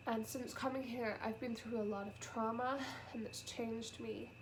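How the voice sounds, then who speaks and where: A woman's voice: a little high, fairly even intonation, noisy background. Congested, teary woman vlogging. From United Kingdom. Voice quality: sniffly, nasal. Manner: halting and soft-spoken, with many pauses and fillers. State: congested with a cold, close to tears. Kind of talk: emotional personal vlog. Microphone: camera.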